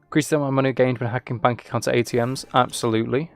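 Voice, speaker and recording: A man chats casually through his live gameplay. His voice is mid-pitched with a gravelly fry, recorded very dry, with faint game music underneath. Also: fairly even intonation, very fast, dry recording. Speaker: game streamer. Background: video game music.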